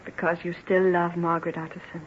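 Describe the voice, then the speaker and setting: An actress playing a devoted, self-effacing plain woman in love, emotional and halting, in an old-time radio drama. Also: fairly low pitch, flat delivery, a little hiss or hum, close to an everyday voice. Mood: quiet, devoted. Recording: muffled, rough old radio recording.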